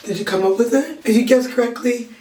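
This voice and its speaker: A young woman, a legal intern, with a raspy, hoarse voice, casually recapping her week and explaining legal points plainly. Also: fairly high pitch, quick pace, mellow, soft background music. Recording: roomy echo, distant from the mic.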